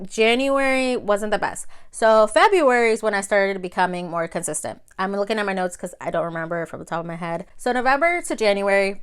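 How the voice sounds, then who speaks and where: A woman's voice: mid-range voice, a bit bright, clear, resonant tone. A woman who is a native Spanish speaker, chatting casually in an advice vlog, conversational and repeating phrases for emphasis. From Mexico. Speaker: video creator.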